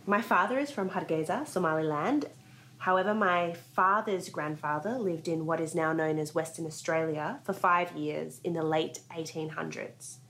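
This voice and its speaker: A woman researcher talking in a relaxed, chatty way during an interview. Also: low voice, normal speed, a little hiss or hum. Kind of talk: casual interview talk.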